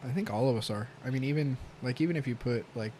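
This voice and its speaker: A man talking casually as a podcast guest, with a quiet voice and heavy swearing. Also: mid-range voice, quick pace, a little hiss or hum, rough recording.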